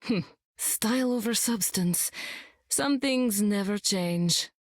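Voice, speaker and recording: A woman's voice: mid-range voice, takes it slowly, dry recording, studio quality. An actress playing a battle-hardened demon-queen sorceress in a video game, cool, terse and disdainful. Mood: scornful.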